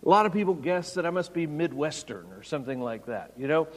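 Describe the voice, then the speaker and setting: A man's voice: on the high side, very animated, quick pace, long reverb tail. A man preaching a sermon, explaining scripture and raising his voice when quoting. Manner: expository preaching, raises voice for quoted lines. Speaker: pastor.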